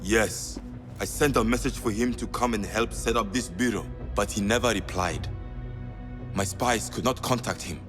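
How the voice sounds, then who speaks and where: A man's voice: lowish voice, normal speed, a bit bright, faint music behind, close to an everyday voice. An actor playing a genial male bureau keeper in a video game, speaking in a friendly, businesslike way. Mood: earnest. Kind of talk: video game cutscene acting.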